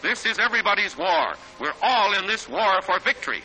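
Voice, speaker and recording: A man's voice: high-pitched, expressive, brisk, poor audio. An American man narrating a 1940s newsreel in a dramatic, declamatory manner. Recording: 1940s newsreel soundtrack with loud music.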